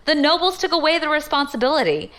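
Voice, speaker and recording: Bold young woman with animated delivery. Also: sits high, fast talker. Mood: scornful, impassioned. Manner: assertive, challenging. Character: bold, ambitious young woman, defiant opportunist. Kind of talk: audio drama acting.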